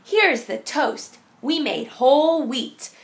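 A woman reading a rhyming picture book aloud to young children in a deliberate, sing-song way, like a teacher. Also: high-pitched, animated, vocal fry.

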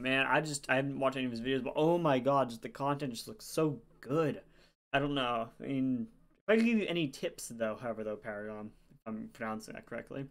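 A tired young man, rambling casually through channel shoutouts into a desk webcam in a small room. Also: on the high side, gravelly. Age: young adult. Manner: rambling, chatty, self-deprecating. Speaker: YouTuber.

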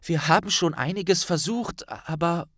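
A man voicing an earnest young witch, careful and gentle, in a fantasy audiobook. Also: fairly high pitch, unhurried, no room sound, clean studio sound, voice pushed up. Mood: urgent, soothing.

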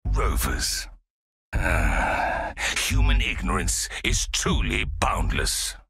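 An actor playing a menacing, contemptuous male robot with a slow, disdainful, grand way of talking. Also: extremely low pitch, a bit bright, slightly rough, dry recording, studio quality, full character voice.

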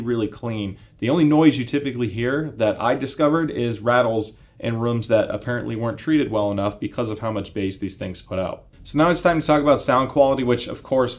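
A man of about forty speaks in a fairly low voice. He explains things conversationally, like a reviewer walking a viewer through equipment in casual terms. He is seated indoors and talks in an informal, explanatory style. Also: darkish tone. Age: 30s to 40s. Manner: conversational, explanatory, uses analogies. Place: indoor home listening room, seated.

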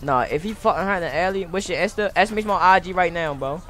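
Casting: American male game streamer, piercing high voice, forceful, repetitive street-slang trash talk. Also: fast, soft background music. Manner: loud, repetitive, taunting shouts. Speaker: streamer role-playing as a gang member in an online game.

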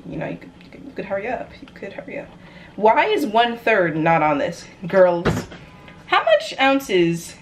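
A woman's voice: medium pitch, huge pitch swings, moderate pace. A young American woman chatting casually to the camera in a playful, chaotic way as she vlogs in her home kitchen. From New York, United States. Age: young adult. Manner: playful, chatty, self-described chaotic energy. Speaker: vlogger. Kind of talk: casual cooking vlog chat to camera. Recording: clear background noise, room ambience.

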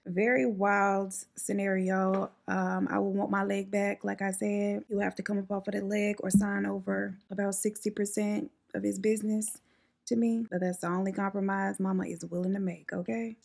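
A young woman talks straight to a close camera at home while she does her makeup, chatting loosely through a story. Her voice has a gravelly fry and a slightly husky edge. She drops sassy asides and says "like" a lot. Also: mid-range voice, level tone, normal speed, a little mellow. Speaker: beauty vlogger telling stories. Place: indoors at home, room behind her. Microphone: camera in front at close range.

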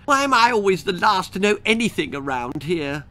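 A man voicing a flighty, excitable young female tooth fairy with a shrill, trilling voice in a children's story. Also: moderate pace, exaggerated voice. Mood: excited.

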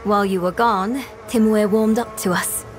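An actress playing an earnest, determined young apprentice in a dramatic fantasy game, with a direct delivery. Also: female voice, mid-range voice, fairly even intonation, moderate pace, faint music behind, lightly acted.

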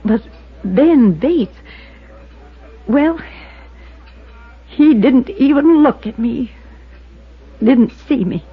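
A lonely, prim farm wife in a 1950s radio serial. She speaks slowly and haltingly, with wistful, flustered emotion. The old recording sounds muffled. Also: sits high, animated, slightly rough, exaggerated voice. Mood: pleading, hurt. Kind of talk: 1950s radio drama acting.

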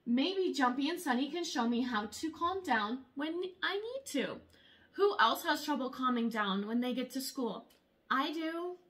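A young woman reading a children's picture book aloud in an expressive, exaggerated storytelling way. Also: sits high, a little slow. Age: young adult. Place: small room at home, indoors.

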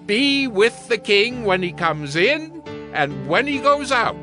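An actor playing a stern, solemn Old Testament priest in a dramatized audio Bible, declaiming with authority. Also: male voice, high-pitched, sing-song, slow, over music, exaggerated voice. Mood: commanding, solemn.

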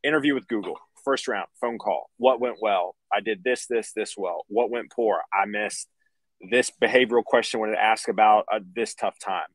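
A man's voice: fairly low pitch, moderate pace, no echo, rough recording. A man on a video call panel, chatty and enthusiastic while sharing interview tips. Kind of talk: casual panel chat.

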